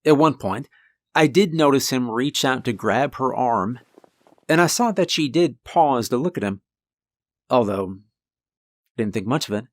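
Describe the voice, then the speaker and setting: A man reads a first-person horror tale with a deep voice, speaking calmly and conversationally, with casual asides. His voice sits at an ordinary middle pitch. The sound is close and dry, with no room around it. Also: a little slow, long pauses, dry recording. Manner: calm conversational storytelling with asides. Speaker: horror story narrator.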